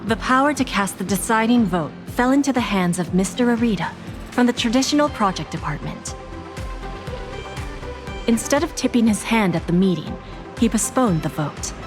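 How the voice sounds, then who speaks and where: A woman playing a poised, authoritative corporate insider, giving articulate, measured exposition in a video game. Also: a little high, expressive, natural voice. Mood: serious. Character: composed, authoritative female corporate insider briefing allies. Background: game music.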